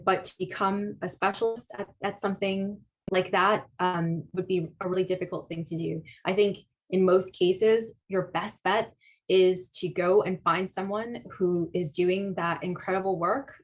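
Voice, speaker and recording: Hesitant woman with a lowish voice. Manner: thoughtful, hesitant, many fillers and restarts. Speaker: guest speaker, artist. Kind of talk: answering audience questions at a talk.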